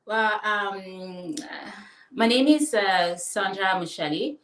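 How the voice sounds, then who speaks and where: A woman from the Democratic Republic of Congo, answering interview questions casually and haltingly, with many fillers. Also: medium pitch, fairly bright tone. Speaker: guest, fashion business owner and designer. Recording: roomy echo, distant mic.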